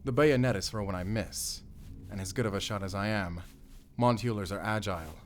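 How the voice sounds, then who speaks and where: An actor playing a stern, secretive werewolf hunter with a German accent, giving terse, grave orders in a cinematic audio drama. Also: male voice, lowish voice, brisk, close to an everyday voice. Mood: grave, hushed, wary.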